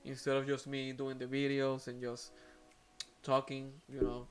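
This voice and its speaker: A man's voice: medium pitch, completely flat delivery, unhurried, clean tone, faint music behind. A man whose first language is Spanish, speaking English with a Spanish accent as host of an aquarium live stream, talking casually with many fillers and restarts.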